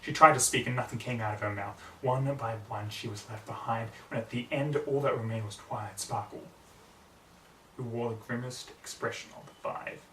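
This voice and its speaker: Young man narrating, bright voice, roomy recording. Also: mid-range voice, fairly even intonation, normal speed. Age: young adult. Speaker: story reader. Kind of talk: dramatic fan fiction narration. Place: small home room with computer desk. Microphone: distant camera microphone. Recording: roomy, distant mic, background noise.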